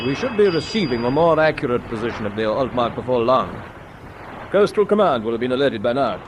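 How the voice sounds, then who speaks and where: An actor playing a decisive British destroyer captain in a wartime radio drama, giving clipped, measured orders with a British accent. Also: male voice, mid-range voice, big pitch swings, background noise, lightly acted. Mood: brisk, composed.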